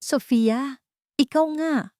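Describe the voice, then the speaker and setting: A woman with a Filipino accent voicing a loyal, caring male lawyer in a dramatic story, gentle and concerned. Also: sits high, slow pace, dry recording, studio quality, voice pushed up. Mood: pleasant surprise.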